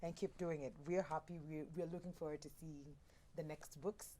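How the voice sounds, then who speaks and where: A woman's voice: low-pitched, moderate pace, crowd noise. A Kenyan woman with a Kenyan accent hosting a TV interview, encouraging and chatty with many short replies. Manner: lively, encouraging, frequent backchannels. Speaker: TV host. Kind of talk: casual interview.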